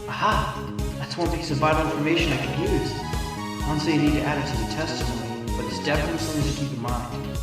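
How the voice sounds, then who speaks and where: A man acting as a determined young defense attorney in a game-style courtroom drama. His voice sits fairly high and he presses every point with confident, theatrical emphasis, in a roomy recording under very loud music. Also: quick pace, lightly acted.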